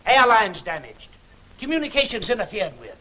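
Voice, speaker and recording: High, bright-voiced insistent businessman. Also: big pitch swings, moderate pace, full character voice. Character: insistent businessman alarmed by sabotage. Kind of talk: 1940s film serial acting. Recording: faintly noisy 1940s film soundtrack.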